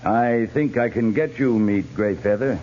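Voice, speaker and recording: An actor playing a steady, earnest cavalry captain with a measured, firm manner in a 1950s radio western. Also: male voice, fairly low pitch, close to an everyday voice. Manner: measured, firm, plain-spoken authority. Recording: muffled, noisy 1950s radio recording.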